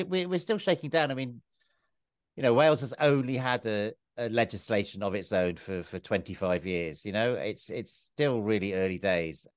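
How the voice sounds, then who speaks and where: Low voiced guy, author on a podcast, stumbles and repeats himself a lot, dry sound. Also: no echo. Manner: repeats words often, hesitant starts. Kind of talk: reflective conversation.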